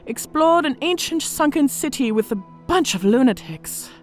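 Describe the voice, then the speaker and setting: A battle-scarred orc woman with a high, lively voice that rises and dips as she spins war tales. She speaks with theatrical, measured weight in a fantasy audio drama, over faint music. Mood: wry, boastful. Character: battle-scarred female orc veteran officer, worldly storyteller. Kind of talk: audio drama character acting.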